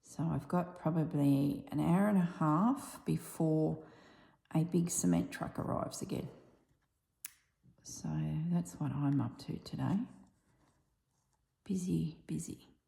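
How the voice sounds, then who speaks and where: An Australian woman with a husky, slightly strained voice chatting casually while she stitches, softly dropping toward a whisper at times. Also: deep voice, slow pace, long pauses. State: voice strained from yelling. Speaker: embroidery vlogger. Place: tiled room with little on the floor. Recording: echoey tiled room.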